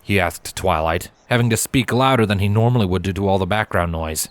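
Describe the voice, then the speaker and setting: Casting: male story narrator, lower-pitched voice, expressive scripted read of fan fiction. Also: brisk, no room sound.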